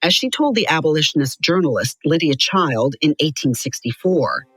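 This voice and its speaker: Lively low-voiced woman storyteller. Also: normal speed, husky, dry recording. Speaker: narrator of a children's biography story. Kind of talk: scripted storytelling narration.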